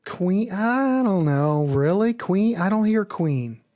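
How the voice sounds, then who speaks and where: Woman, music show host, medium pitch, casual off-the-cuff chat, folksy, thinks aloud. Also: lively intonation, slow pace, warm tone.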